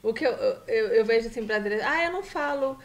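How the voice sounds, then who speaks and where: A middle-aged woman from Brazil, a guest on a casual live stream, telling stories in a warm, chatty way with lively quoted asides. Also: a little high, fast.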